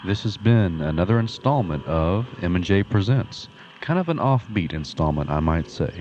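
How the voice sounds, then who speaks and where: A man's voice: deep voice, expressive, moderate pace, darkish tone. A man announcing a scripted outro and disclaimer for an audio comedy show. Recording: dull, slightly noisy lo-fi audio.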